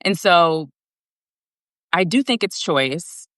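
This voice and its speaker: A woman's voice: fairly low pitch, lively intonation, takes it slowly, fairly bright tone, no echo, studio quality. A woman guest and author talking earnestly in a casual live video conversation, explaining her ideas steadily. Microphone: video call.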